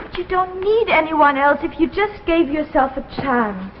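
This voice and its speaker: An actress playing a devoted, encouraging young wife in a 1950s anthology drama, speaking in an earnest, coaxing way. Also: female voice, high voice, lively intonation, normal speed, background noise. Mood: insistent. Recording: muffled, echoey 1950s broadcast recording.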